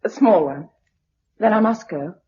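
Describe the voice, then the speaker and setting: A woman's voice: mid-range voice, expressive, a little slow, mellow, slightly rough. An actress playing a shaken, well-bred young Englishwoman in a radio drama, with an English accent and a genteel, hesitant, emotional manner. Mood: shaken, polite. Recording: dull lo-fi radio drama recording.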